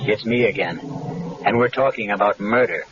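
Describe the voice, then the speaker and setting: A man hosting a radio mystery show with measured, ominous scripted narration. Music plays clearly behind him. The recording sounds dull, like 1970s broadcast audio. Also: on the low side. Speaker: radio drama host.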